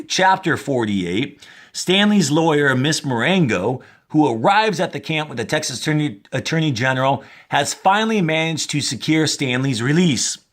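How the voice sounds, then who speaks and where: An animated man reads aloud like a schoolteacher in front of young pupils. His pitch moves widely as he stresses key words, and his delivery is instructive and emphatic, slipping between reading and explanation. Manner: emphatic, instructive, checking in with "okay?". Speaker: fourth-grade teacher. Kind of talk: expressive read-aloud with teaching asides.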